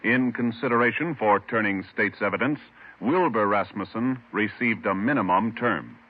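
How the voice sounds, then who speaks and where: Formal, lowish vintage radio announcer. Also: male voice, moderate pace. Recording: dull old radio recording, music behind.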